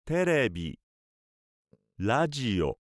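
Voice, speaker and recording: A man speaking for a language course, enunciating words and short example sentences clearly for learners. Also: mid-range voice, very animated, unhurried, music in the background. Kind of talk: clear word-by-word pronunciation drill.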